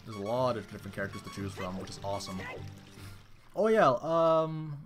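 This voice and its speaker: A male streamer talks casually to his viewers while playing, his pitch leaping up and down in a lively, animated way. He rambles excitedly and keeps restarting his sentences. Faint game music plays underneath. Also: brisk.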